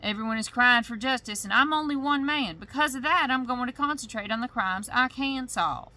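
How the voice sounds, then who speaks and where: A defensive, overworked village sheriff, as a woman puts him on, talking in a thick drawl that climbs higher and louder as he bristles. The voice is bright and pushed, a storybook lawman who resents being questioned. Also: brisk. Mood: indignant. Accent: put-on thick rural drawl. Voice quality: loud, indignant, rising when challenged. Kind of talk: bedtime story reading.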